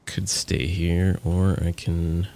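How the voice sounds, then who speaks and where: Male streamer, deep low voice with gravel, casual rambling gaming chat. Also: little pitch movement, slow pace, mellow. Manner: rambling, lots of fillers and restarts.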